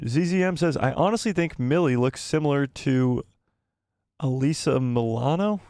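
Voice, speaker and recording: A man hosting a live stream, chatting casually and reading out viewer comments. His voice is going hoarse and he rambles and repeats himself as he thinks aloud. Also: medium pitch, moderate pace, darkish tone, dry recording. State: losing his voice, coughing. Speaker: livestream host.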